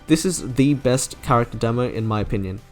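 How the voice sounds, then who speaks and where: A man's voice: mid-range voice, expressive, brisk, a little mellow. Enthusiastic male reviewer over music. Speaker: video creator reviewing game character trailers. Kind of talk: scripted review narration.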